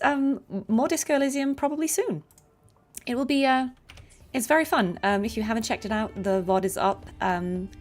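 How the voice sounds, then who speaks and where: A woman's voice: lowish voice, gravelly. A woman chatting casually on a livestream while commentating on a video game, giggly and often interrupting herself. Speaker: game streamer. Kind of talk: casual livestream chat and gameplay commentary, reading dialogue aloud. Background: video game music.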